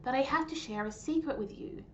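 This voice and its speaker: A woman voicing a kind, wise grandmother in a children's storybook, gentle, patient and encouraging. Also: high-pitched, brisk, pitched above the performer's own voice. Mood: proud, gentle. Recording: dull lo-fi sound, faint noise.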